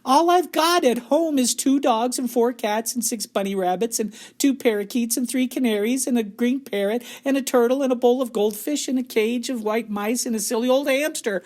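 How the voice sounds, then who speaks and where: A man putting on a bratty little girl in a storybook reading, shrill and whining. She shouts every demand at the top of her voice, and her pitch leaps wildly. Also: big pitch swings, normal speed, hardly any pauses. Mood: shrieking demand. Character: spoiled shrieking young girl, demanding.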